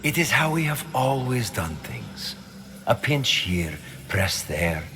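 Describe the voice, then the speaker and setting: An actor playing a cold, superior villainous mastermind in a video game cutscene, speaking in a measured, eloquent, menacing way. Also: male voice, mid-range voice, sing-song, bright, forceful tone, a little hiss or hum, exaggerated voice. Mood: calculating, menacing. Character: cold, calculating Templar mastermind, a grown man, coolly superior.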